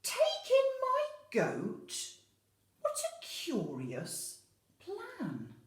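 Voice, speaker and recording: Woman putting on a high, plaintive little old lady. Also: wildly swooping pitch, echoey room, full character voice. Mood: baffled. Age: older. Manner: plaintive, exclaiming, drawn-out pleading. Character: grumbling, puzzled little old lady of a storybook.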